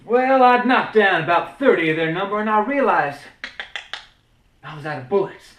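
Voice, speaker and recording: A man's voice: high voice, animated, normal speed, recorded from a distance, highs cut off, slight background noise. A man acting a swaggering, boastful frontier showman who tells tall tales in a theatrical, grandiose stage monologue. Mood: boastful, excited. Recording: roomy, echoing indoor recording.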